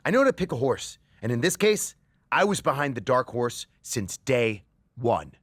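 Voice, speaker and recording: A man's voice: medium pitch, very animated, normal speed, fairly bright tone, slightly rough, no room sound, clean studio sound. A man playing a boastful, defensive, sneering wrestling show manager in a scripted game cutscene. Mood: smug, defensive.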